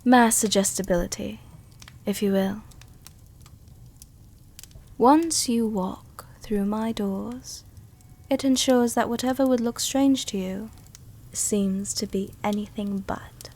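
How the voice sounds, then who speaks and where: Woman acting a slow, seductive vampire countess. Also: mid-range voice, fairly even intonation, a little mellow. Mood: amused, confiding. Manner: slow, intimate, formal diction with breathy whispers. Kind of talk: soft-dom roleplay ASMR.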